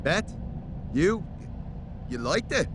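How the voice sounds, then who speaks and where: A man playing a big lovestruck brutish wrestler in a video game cutscene, speaking slowly and haltingly. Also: sits high, big pitch swings, background noise, heavily acted. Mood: smitten surprise.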